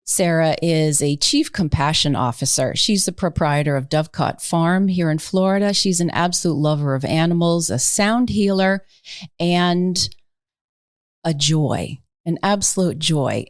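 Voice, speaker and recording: A woman's voice: sits low, lively intonation, measured pace, no room sound, clean studio sound. A woman hosting a conversational interview, effusive and leaning on words for emphasis. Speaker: podcast host, interviewer.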